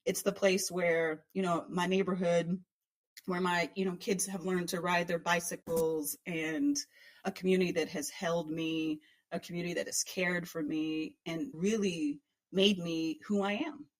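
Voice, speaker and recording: A woman's voice: lowish voice, steady tone, measured pace. A woman from Texas in her thirties, a community organizer, answering interview questions in an earnest, reflective way. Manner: earnest and reflective, with many "like" fillers. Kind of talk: conversational interview.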